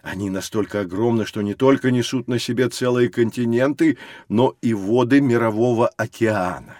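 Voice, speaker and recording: An actor playing a patient, learned science teacher who explains things to children in a measured, didactic way for an educational audio drama. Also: male voice, medium pitch, darkish tone, dry recording, close to an everyday voice. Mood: patient, instructive.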